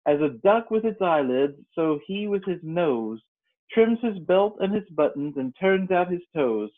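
A man's voice: sits high, very animated, a little slow, voice pushed up. A man doing curious little Alice. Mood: reciting. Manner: light, polite and wondering. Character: polite, curious young girl Alice. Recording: tinny phone-like lo-fi audio.

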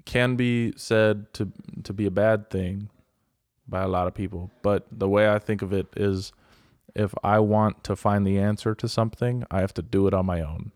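An American man chatting casually as a podcast co-host, with a deadpan, self-mocking manner. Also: on the low side, moderate pace, no echo. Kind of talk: casual banter.

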